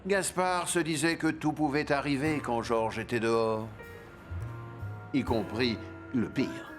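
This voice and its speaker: A man narrating a children's cartoon in a storybook manner. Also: mid-range voice. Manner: slow, lively storytelling. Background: music.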